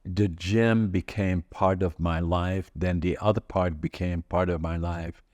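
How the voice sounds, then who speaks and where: A man in his eighties with a Dutch accent, telling stories from his life in a halting, rambling way, full of fillers and restarts. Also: low-pitched, steady tone, a little mellow, dry recording. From Northern Netherlands. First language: Dutch regional dialect. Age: 80s. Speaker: podcast host. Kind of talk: casual conversational storytelling.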